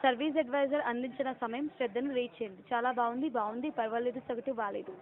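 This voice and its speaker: A woman's voice: a little high, fairly even intonation, quick pace. A woman working as a customer care caller, reading a scripted survey formally and mechanically on a muffled phone recording. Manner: robotic, formal, rote reading of options. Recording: muffled, band-limited phone call recording.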